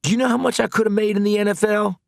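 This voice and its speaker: A man's voice: high voice, fairly even intonation, very fast, creaky, no echo, clean studio sound, pitched above the performer's own voice. A man voicing a bitter, wrongly convicted former football star in an audiobook, blunt, slangy and frustrated. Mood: angry, frustrated. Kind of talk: dramatic audiobook character dialogue.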